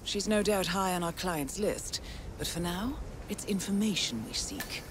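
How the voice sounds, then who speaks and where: An actress playing a cool, composed intelligence handler in a video game, briefing an assassin in a measured, dryly wry manner. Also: female voice, fairly low pitch, background noise, lightly acted. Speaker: mission handler. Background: background music.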